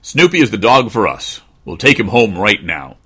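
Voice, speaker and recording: A man's voice: lowish voice, sing-song, normal speed, fairly bright tone, breathy edge, full character voice. A man voicing a genial, decisive middle-aged father in a storybook, plain and kindly in manner.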